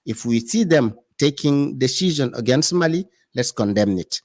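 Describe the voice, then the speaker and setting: A man's voice: mid-range voice, animated, moderate pace, dry recording, studio quality. A man as a guest commentator in an interview, speaking in earnest, repeating phrases for emphasis.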